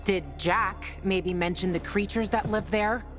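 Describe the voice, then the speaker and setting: A woman's voice: mid-range voice, fairly even intonation, a little slow, faint music behind, close to an everyday voice. An actress playing a tough, protective, foul-mouthed young woman survivor in a video game, blunt and urgent. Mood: worried pleading.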